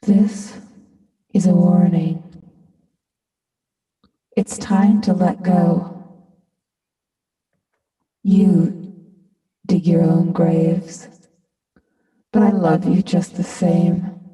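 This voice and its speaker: A woman playing a spirit of nature in a staged play reading, warning humans in solemn, measured phrases, ominous yet loving. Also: fairly low pitch, flat delivery, recorded from a distance. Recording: echoey hall reverb, loud music.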